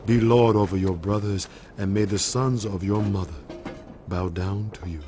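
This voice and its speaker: An actor playing a frail, solemn old blind father with a deliberate delivery in a Bible audio drama. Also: lowish voice, steady tone, natural voice. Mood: solemn, tender. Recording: dramatic music behind the voice.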